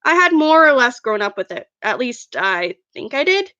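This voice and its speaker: A woman's voice: high-pitched, sing-song, normal speed, sharp tone, no room sound, muffled recording. A woman reading her own fan fiction aloud as first-person narration, an amateur who stumbles over words now and then.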